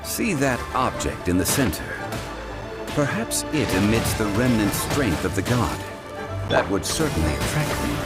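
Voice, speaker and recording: A dignified, learned gentleman in a video game, with a very deep voice that still moves expressively. He speaks in a measured, formal, composed way over game music. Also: full character voice. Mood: thoughtful.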